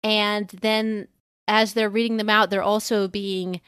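A woman's voice: medium pitch, little pitch movement, a little slow, fairly bright tone, clean tone, no echo, clean studio sound. A woman co-hosting a podcast, talking in a wry, informal and conversational way. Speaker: guest podcast co-host. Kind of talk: casual podcast discussion.

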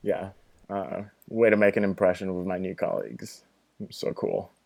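A man in his thirties from France with a French accent, telling a personal story as a podcast guest. He is thoughtful and earnest and often pauses with ums and restarts. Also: low voice, fairly even intonation, gravelly, a little mellow. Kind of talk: storytelling in a casual podcast chat.

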